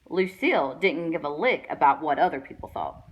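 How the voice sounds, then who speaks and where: A woman reading a children's picture book aloud with expressive storytelling delivery. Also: deep voice, normal speed, husky, rough recording. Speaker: guest read-aloud reader.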